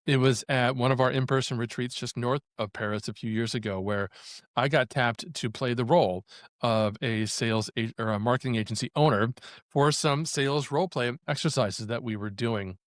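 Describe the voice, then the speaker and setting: A male podcast host talks in a friendly, measured way as he introduces a guest and poses questions. His pitch is middling and the recording is dry, with no room sound. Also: no echo. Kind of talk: podcast interview.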